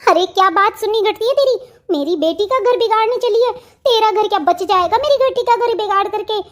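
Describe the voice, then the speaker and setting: Woman voicing a very high-pitched scolding village mother. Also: brisk. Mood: angry, threatening. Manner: scolding, dramatic, emphatic. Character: stern scolding mother, middle-aged Indian village woman. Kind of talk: melodramatic family cartoon dialogue.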